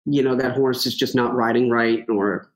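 A man's voice: medium pitch, steady tone, normal speed. A man speaking as a podcast guest in a casual chat, rambling with many fillers and joking at himself. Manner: rambling, lots of fillers and restarts, self-joking.

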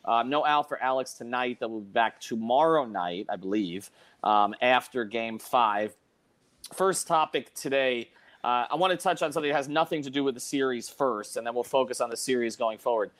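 A man hosts a sports talk show with a middling pitch. He speaks casually and conversationally, as someone running a program would. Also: normal speed, a bit bright. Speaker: podcast host.

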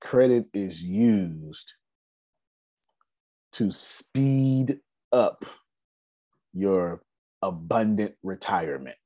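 A man in his forties giving a casual, energetic finance seminar talk, asking rhetorical questions and answering them himself. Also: low-pitched, drawn-out words, pauses often, no echo. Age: 40s. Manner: loose, energetic, repeats key points. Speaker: finance speaker.

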